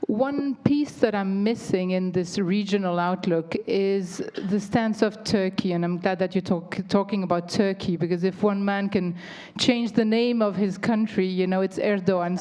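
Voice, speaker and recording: A woman speaking up from the audience at a lecture, with a voice at a middle pitch for a woman. She phrases her question politely, with a few hesitations and restarts along the way. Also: moderate pace, hardly any pauses, dark tone. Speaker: audience member asking a question. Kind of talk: question from the floor.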